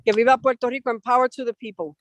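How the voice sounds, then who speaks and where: A woman's voice: a little high, steady tone, racing, no echo, dull audio. An older woman giving a political solidarity message as a guest activist speaker.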